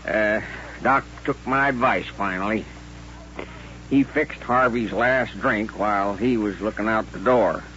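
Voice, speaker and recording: Western radio sidekick: American, rustic, eager, plain-talking, lowish pitch. Muffled old-time sound. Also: male voice, unhurried, sharp tone. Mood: amused, folksy. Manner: rustic, folksy drawling grammar. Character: plain-spoken male frontier sidekick, folksy and eager. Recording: dull, faintly noisy old radio recording.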